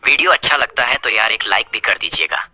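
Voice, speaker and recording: A man's voice: mid-range voice, lively intonation, quick pace, bright, forceful tone, dry recording. A man hosting a channel, addressing viewers directly in a casual, friendly appeal. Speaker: channel host.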